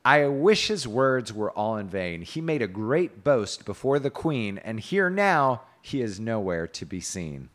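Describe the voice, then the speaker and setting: Guy reading as a mocking knight from a storybook, declaiming with big pitch swings. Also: sing-song, unhurried, few pauses. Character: boastful, sarcastic male knight of Arthurian romance.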